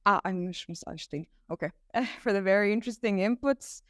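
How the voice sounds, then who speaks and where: A woman's voice: mid-range voice, brisk, fairly bright tone, dry recording, clean studio sound. A woman from Egypt asking a thoughtful question from the audience at a conference, with some fillers.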